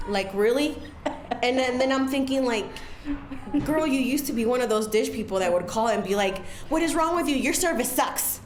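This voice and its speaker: A young woman from the United States talking casually in an interview, full of "like" and "you know". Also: mid-range voice. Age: young adult. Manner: chatty, many "like" fillers. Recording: roomy echo, faint noise.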